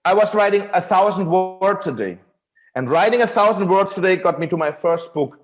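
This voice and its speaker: A man's voice: sits high, animated, fairly quick, soft background music. A man with a German accent, a guest author on a podcast, telling his story earnestly and with enthusiasm. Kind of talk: podcast interview.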